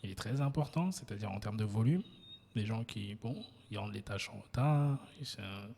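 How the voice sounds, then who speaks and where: A man from Ivory Coast hosting a casual interview podcast, animated and emphatic, often repeating himself. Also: fairly low pitch, moderate pace, vocal fry, slight background noise. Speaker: podcast host. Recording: long hall reverb.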